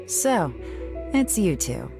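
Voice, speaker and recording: A dry, confident female scout in a game. Her voice is on the low side but lively in intonation, wry and unruffled, with music clearly behind. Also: slow, heavily acted. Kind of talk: video game voice acting.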